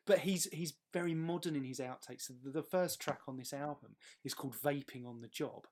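A man's voice: a little high, brisk. A man speaking as a guest in a casual music chat, enthusiastic and often repeating words as he finds his way. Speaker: guest choosing and discussing songs; pedal steel guitar player.

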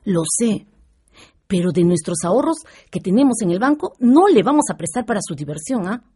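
An actress with a Peruvian accent playing a prudent, firm wife guarding the household money in a radio drama. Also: female voice, medium pitch, sing-song, normal speed, dark tone, breathy edge. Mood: firm, worried. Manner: practical, firm.

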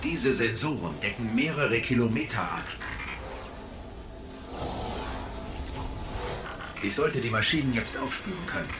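A man playing a small, wry and curious robotic AI companion in a radio play, speaking in an analytical, expressive way. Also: mid-range voice, fairly quick, background noise, lightly acted. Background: music.

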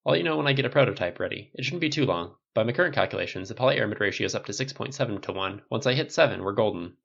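A restless, fast-talking male genius inventor, as a man puts him on, his voice jumping up high and swinging all over. He gets defensive in a hurry. The recording sounds a little muffled. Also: animated, creaky, fairly bright tone, full character voice. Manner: fast, fidgety and easily defensive.

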